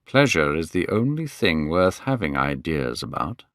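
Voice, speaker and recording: A man voicing a worldly, teasing gentleman in a storybook reading, slow and musical in his delivery. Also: very deep voice, sing-song, dry recording, clean studio sound, pitched below the performer's own voice, full character voice. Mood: languid, teasing. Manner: slow, drawling, cynical.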